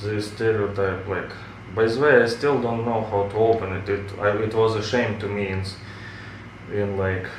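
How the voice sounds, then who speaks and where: A man from Russia with a Russian accent, hosting a casual reaction video, chatty and halting in his English. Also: fairly low pitch, fairly even intonation, slight background noise. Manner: chatty, halting, many fillers and restarts. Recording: roomy, mic at a distance.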